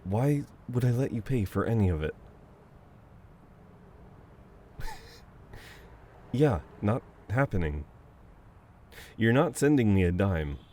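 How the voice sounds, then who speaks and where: Guy acting as a protective teasing dom best friend, really deep voice, slow easy casual talk, asmr roleplay. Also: big pitch swings, a little hiss or hum. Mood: playful, relaxed. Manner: casual, conversational, with soft chuckles and hesitations. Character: caring, teasing, dominant male best friend on a date. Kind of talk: intimate ASMR audio roleplay, one side of a conversation.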